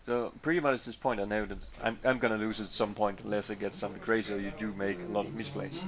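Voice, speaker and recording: A Danish man whose first language is Danish speaks English with a Danish accent, commentating on a card game he played. He talks in a fairly low voice. He is chatty and loose, often hesitating and restarting his phrases as he works out what to say. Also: fairly even intonation, fast, a bit bright, a little hiss or hum. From Denmark. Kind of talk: casual commentary over match footage.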